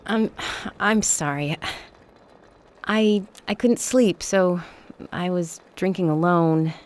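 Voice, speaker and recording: An American woman playing a sincere, candid, soft-hearted young woman in a video game drama. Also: lowish voice, takes it slowly. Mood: apologetic. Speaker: voice actor in a game. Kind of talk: video game cutscene dialogue.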